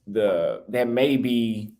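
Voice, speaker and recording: A young American man with a slightly low voice, talking off the cuff on a livestream about his travels. He rambles, restarts his sentences and gets defensive, and his voice sounds a little cracked and worn. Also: very slow, mellow. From United States. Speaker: travel YouTuber live-streaming. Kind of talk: casual livestream talk reading super chats.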